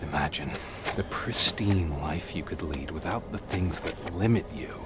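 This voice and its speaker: Very deep-voiced, menacing crime boss. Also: male voice, background noise. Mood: calm menace. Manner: slow, deliberate, condescending. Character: calm, menacing male crime boss, coldly philosophical. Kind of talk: video game cutscene acting.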